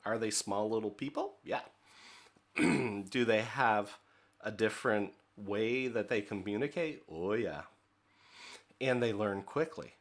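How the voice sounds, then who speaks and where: Middle-aged American man, lower register, leadership trainer, chatty and informal, lots of fillers. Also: slow. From United States. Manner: conversational, many fillers, asks "okay?". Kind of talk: recorded training lecture.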